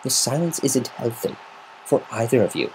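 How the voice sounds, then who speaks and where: A man's voice: medium pitch, expressive, moderate pace, a little hiss or hum, voice pushed up, lightly acted, poor audio. A man voicing a refined female unicorn pony with a prim, disapproving, huffy manner. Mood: frustrated, worried.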